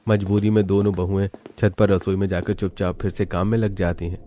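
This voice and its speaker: Male cartoon narrator. Deep and mellow, reads scripted family tale over light noise. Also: flat delivery, fairly quick.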